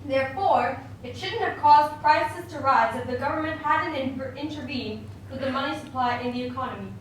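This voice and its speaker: A woman's voice: high voice, moderate pace, lightly acted. A woman voicing an opinionated male economist puppet in a scripted mock interview, lecturing emphatically and dismissively. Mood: lecturing, assured. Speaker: interview guest. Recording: very echoey room, distant mic, faint noise.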